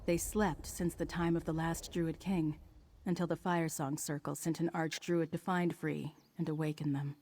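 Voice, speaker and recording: Learned druid woman, earnest, guarded, measured, mellow dark tone, faint noise, video game dialogue. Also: low-pitched, level tone.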